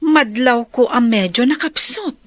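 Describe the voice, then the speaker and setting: Casting: actress as concerned young woman for radio-style drama, very high voice, dry close sound. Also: expressive, unhurried, dry recording. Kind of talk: Ilocano audio drama acting.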